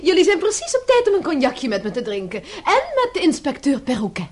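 High-voiced, animated young wife. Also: female voice, fairly quick. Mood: gracious. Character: bright, affectionate, socially smooth young wife. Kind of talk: Dutch radio play acting.

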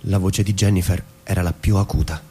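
A man acting an introspective suburban husband in a radio play, with a lowish voice. His delivery is reflective and measured, like literary inner monologue, over a faint rumbling noise. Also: fairly even intonation, warm tone, close to an everyday voice. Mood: wistful. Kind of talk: theatrical radio drama, literary monologue and dialogue.

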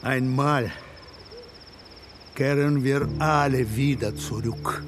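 An actor playing a proud, calm Comanche chief and rancher, speaking in a measured, solemn way in a radio drama. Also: male voice, medium pitch, lightly acted.

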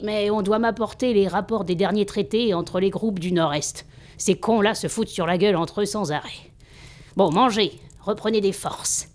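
A woman's voice: medium pitch, lightly acted. An actress playing a blunt-spoken, hospitable female master in an audio drama, speaking with familiar, instructive assurance. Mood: exasperated, then welcoming.

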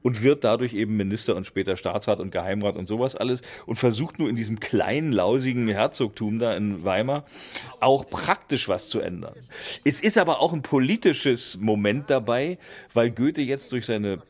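An older man from East Germany talks casually and with conviction, like an interviewee explaining to someone he knows. He lends his phrases a theatrical emphasis, at a medium pitch. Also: animated, moderate pace, darkish tone. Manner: theatrical, quotes verses with emphasis. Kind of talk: animated casual explaining in conversation.

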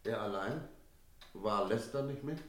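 An actor playing a wary village innkeeper in a German radio drama, telling his story in a measured, brooding way. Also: on the low side, fairly bright tone. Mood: wary, surprised. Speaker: narrator and character in a radio play.

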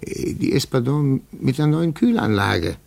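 A shrewd investigator in 1950s radio drama style. His gravelly voice swings widely in pitch as he muses deliberately, then turns insinuating with pointed questions. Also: big pitch swings, darkish tone. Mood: musing, intrigued. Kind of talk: 1950s German radio play acting.

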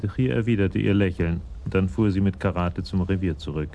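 An actor narrating a German radio crime drama as the storyteller of a police tale. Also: male voice, sits low, a little fast, mellow.

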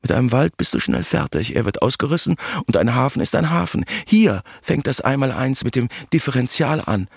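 An actor playing a gruff, exasperated building site boss in a radio play, emphatic and sardonic. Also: male voice, mid-range voice, big pitch swings, brisk, hardly any pauses, no echo, studio quality, full character voice. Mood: grim, determined. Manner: emphatic, sardonic, swinging from mutter to outburst. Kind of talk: radio play acting over music. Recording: loud music behind the voice.

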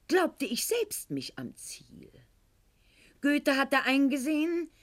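An actress playing a proud, wounded, sharp-tongued aristocratic lady of the Weimar court in a radio play monologue, articulate and declamatory, with dramatic pauses. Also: on the low side, heavily acted. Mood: indignant, self-reproachful, then exasperated. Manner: haughty, articulate, declamatory, with dramatic pauses and hushed line endings.

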